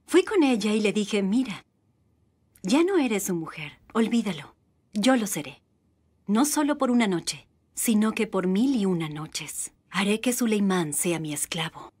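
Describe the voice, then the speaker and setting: Casting: a defiant young harem woman, husky, very lively melody. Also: mid-range voice, a little slow. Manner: teasing, lively. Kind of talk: Spanish dub of a historical series.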